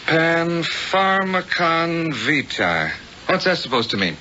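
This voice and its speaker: Sharp, breezy newspaper reporter. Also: male voice, a little high, very animated, slow pace, full character voice. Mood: puzzled, wry. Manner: breezy, teasing, hesitant when thinking. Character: wry, college-educated male newspaper reporter. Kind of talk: 1970s radio drama acting. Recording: dull hissy old radio recording.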